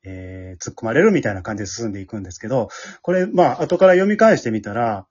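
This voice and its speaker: Husky Kansai-accented troupe leader of unclear sex, casual and explanatory, widely varying pitch, on dull audio. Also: lively intonation, fast, darkish tone. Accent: Kansai Japanese. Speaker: amateur radio drama troupe leader and writer. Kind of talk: casual after-show chat.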